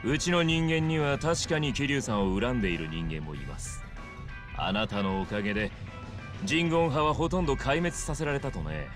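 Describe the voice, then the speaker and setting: An actor playing a smug, suave young male gangster boss in a video game cutscene, with smooth, polite, theatrical speech. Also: mid-range voice, expressive, brisk, over music, lightly acted. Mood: polite, calculating. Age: young adult.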